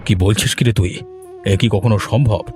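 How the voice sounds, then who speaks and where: A man playing a gruffly kind village gentleman boss in a cartoon, commanding and blustery. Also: on the low side, fairly quick, soft background music, natural voice. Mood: shocked, indignant. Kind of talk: cartoon voice acting.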